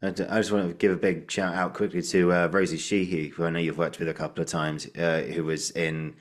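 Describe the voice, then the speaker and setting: A man's voice: sits low, little pitch movement, brisk. A man hosting a podcast, chatting casually with a guest. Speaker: podcast host. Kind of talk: casual interview chat.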